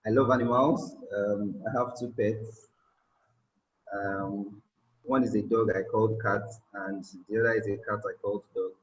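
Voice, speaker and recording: Male tech evangelist, Nigerian accent, slightly low voice, roomy and somewhat dull recording. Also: steady tone. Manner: unhurried, many um fillers. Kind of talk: conference presentation.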